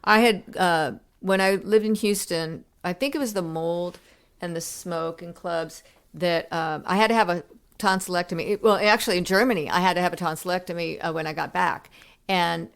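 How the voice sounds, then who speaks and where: Low, gravelly woman telling stories. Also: moderate pace. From United States. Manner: reflective storytelling with many restarts and fillers. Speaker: musician guest. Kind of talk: casual interview conversation.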